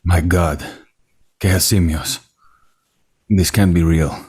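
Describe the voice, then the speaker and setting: A man's voice: low voice, fairly even intonation, slow, a little mellow, slightly rough, heavily acted. A man reading a broken, panicky rancher in his fifties at a screenplay table read, cursing and despairing. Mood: panicked. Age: 50s.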